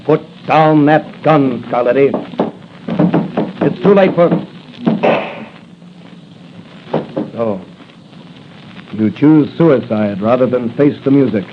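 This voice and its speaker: An actor playing a bold young police officer and masked crime-fighter in a 1940s radio drama. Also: male voice, medium pitch, slow pace, pauses often, close to an everyday voice. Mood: stern, triumphant. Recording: muffled, hissy 1940s radio recording.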